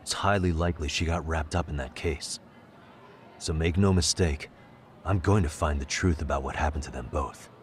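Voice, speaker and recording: An actor playing a calm, determined private detective in a video game dub, speaking in a measured way. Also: male voice, rumbling low, a little hiss or hum. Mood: firm, resolute. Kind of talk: English game dub dialogue. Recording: music behind the voice.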